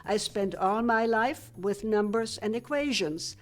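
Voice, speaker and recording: An older woman from Germany, a retired physicist, answering questions in a studio TV interview in a measured, plain-spoken way. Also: mid-range voice, soft background music. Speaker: guest, retired physicist turned painter.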